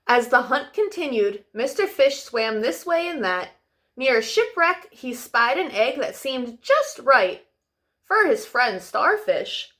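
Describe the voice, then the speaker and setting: Animated higher-voiced woman reading to children. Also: slow. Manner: expressive, affectionate, addressing young listeners simply. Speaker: storytime host reading to children. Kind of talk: animated children's storybook reading and friendly address.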